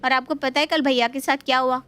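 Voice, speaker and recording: A playful young daughter from a cartoon family story. She is chatty and outspoken, teasing in a fairly high voice. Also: fairly even intonation, fast, a bit bright, smooth. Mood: gleeful. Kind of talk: Hindi animated moral story acting.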